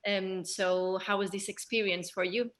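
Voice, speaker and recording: A woman's voice: medium pitch, steady tone, a little slow, fairly bright tone. A woman hosting a bilingual Q&A, putting questions in polite, careful phrasing. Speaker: host, moderator. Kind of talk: moderating a bilingual Q&A.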